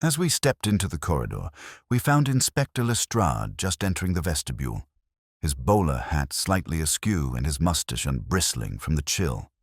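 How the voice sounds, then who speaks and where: A man with a very deep, slightly husky voice narrates a detective story. His reading is measured, literary and dramatic, with plenty of melodic movement. It is an audiobook style of delivery. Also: very animated, no room sound, clean studio sound. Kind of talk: scripted first-person detective story narration.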